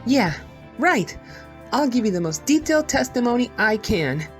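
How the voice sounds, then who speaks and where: A woman's voice: fairly high pitch, big pitch swings, moderate pace. A woman voicing a timid, eager male cheetah police officer in an audio drama, deferential and easily flustered. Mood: bashful. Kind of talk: courtroom audio drama acting. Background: music.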